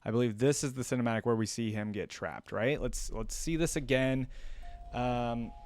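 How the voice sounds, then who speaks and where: A man's voice: medium pitch, normal speed, a little mellow. A man hosting a reaction video, commenting casually and musing aloud in questions. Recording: music playing behind the voice.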